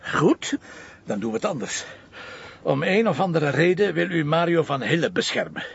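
A gravelly Flemish police commissioner. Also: male voice, very animated, moderate pace, heavily acted. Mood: probing. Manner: probing, dry and insistent. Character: shrewd middle-aged male police commissioner. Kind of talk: television crime drama. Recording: dull lo-fi TV audio with faint rumble.

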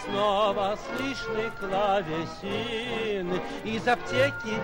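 A man's voice: sits high, fairly even intonation, slow. A man narrating a 1940s radio play in theatrical song. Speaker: singing narrator. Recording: old radio recording, loud music.

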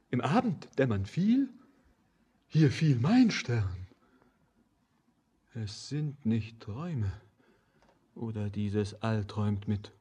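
Husky, haunted young wanderer. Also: male voice, lowish voice, mellow, full character voice. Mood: brooding, dazed. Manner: lyrical, solemn, impassioned declaiming. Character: haunted young wandering stranger, fervent and doom-laden. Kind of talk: poetic German radio play acting.

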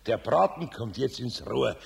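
An actor playing a kindly, patient older master carpenter with a Bavarian accent and a husky, gravelly voice in a children's radio play. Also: male voice, mid-range voice, lively intonation, measured pace, noisy background. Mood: soothing.

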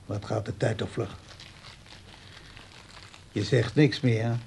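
An actor playing a lonely, brooding old widowed father in a radio play, with a slow, halting, musing way of talking. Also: lowish voice, fairly even intonation, vocal fry, darkish tone, slight background noise. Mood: musing, wistful. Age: older.

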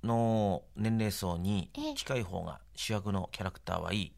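A man giving casual interview answers as an anime producer, pausing often mid-sentence. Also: deep voice, vocal fry, a little mellow.

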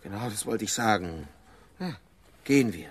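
A man's voice: on the low side, animated, moderate pace, breathy edge. An actor playing a flippant, pleasure-seeking male space traveller, breezy and insistent, in a science-fiction radio drama.

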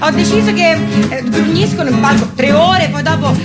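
A woman's voice: high voice, moderate pace. A woman performing comic cabaret, satirical song and monologue in a theatrical, ironic, mock-solemn manner. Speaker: comic singer and stage performer. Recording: music behind the voice. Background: plucked guitar accompaniment.

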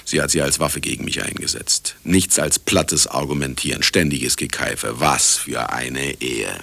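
An actor playing an aging, self-absorbed ex-husband who narrates a radio play in a wry, brooding, theatrical way with bitterness. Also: male voice, very deep voice, unhurried, pushed and bright, no room sound, full character voice. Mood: bitter. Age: older. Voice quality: gravelly, husky. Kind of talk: radio drama acting and narration.

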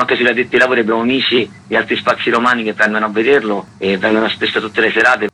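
A man's voice: on the low side, sharp tone, slight background noise, poor audio. A man speaking conversationally as a theatre festival co-director in a radio interview.

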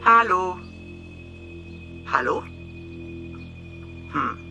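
An actor playing a worried man on a phone call in an audio play, with a creaky voice. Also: sits high, big pitch swings, very slow, over loud music, exaggerated voice. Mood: worried, halting. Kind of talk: acted dialogue in an audio play.

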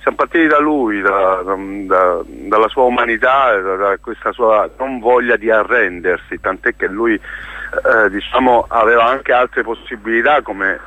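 A man with a bright, forceful voice, recorded dry and close. He talks as a theatre maker interviewed on radio, searching for words, doubling them back and leaning on them for emphasis. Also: lowish voice, no echo, poor audio. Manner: halting, repeats words while searching, emphatic. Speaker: theatre actor and playwright, interview guest. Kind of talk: spoken radio interview, storytelling.

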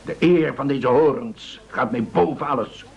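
A man's voice: medium pitch, moderate pace, creaky, breathy edge, highs cut off. An actor in a radio play playing a proud, dutiful veteran postman with an officious, easily affronted manner.

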